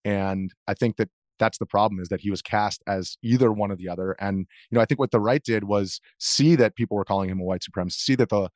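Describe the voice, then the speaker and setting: An American man speaks as an interview guest in a fairly low voice. He explains himself earnestly and conversationally, often restarting his phrases. The recording is dry. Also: quick pace, few pauses, darkish tone, dry recording.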